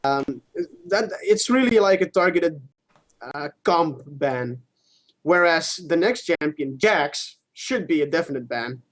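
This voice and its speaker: High-voiced man in casual gaming talk. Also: animated. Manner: halting, repeats words, swears casually. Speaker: co-host of a gaming show.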